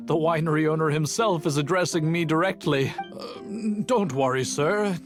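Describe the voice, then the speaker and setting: An actor playing an awestruck, servile master brewer in a video game, stammering and overwrought. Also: male voice, a little high, moderate pace, music in the background, natural voice.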